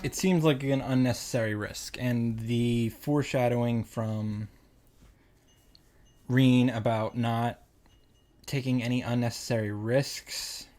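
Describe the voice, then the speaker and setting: A man's voice: medium pitch, steady tone, slow pace, darkish tone. Man reacting casually over music. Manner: exasperated, sweary asides. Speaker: visual novel reaction host. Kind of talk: casual recap and reaction commentary. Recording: music behind the voice. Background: game music.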